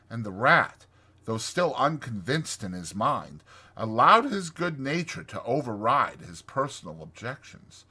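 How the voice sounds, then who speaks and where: Male storybook narrator, bright and husky, for dramatized scripted reading. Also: mid-range voice. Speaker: audiobook narrator.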